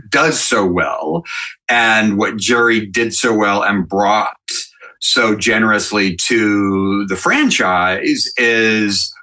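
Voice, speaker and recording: Bright, low-pitched man, unhurried and earnest. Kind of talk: reflective panel conversation.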